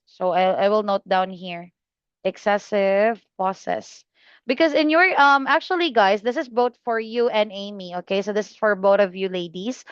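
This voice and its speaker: A woman tutoring English exam students, giving feedback slowly and patiently with many fillers and pauses. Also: medium pitch, clean tone, no room sound, muffled recording. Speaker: IELTS speaking tutor.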